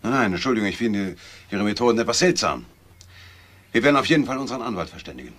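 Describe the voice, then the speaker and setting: A man's voice: lowish voice, animated, quick pace, a bit bright. A man playing an indignant, protective elder brother and company boss in a German crime radio drama.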